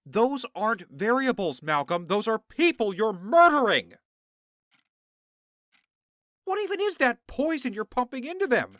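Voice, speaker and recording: A man's voice: very high voice, big pitch swings, normal speed, a bit bright, no echo, clean studio sound, heavily acted. A man playing a horrified business partner with a troubled conscience in an audio drama, indignant, pleading and emotional. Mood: outraged.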